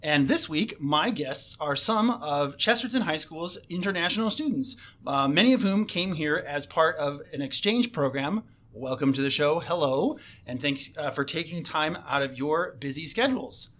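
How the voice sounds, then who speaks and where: A man with a fairly high voice hosts a casual school radio chat. He talks with many fillers and false starts and keeps circling back to finish his thoughts. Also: animated, fairly bright tone. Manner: halting, many fillers and restarts. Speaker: host, assistant principal.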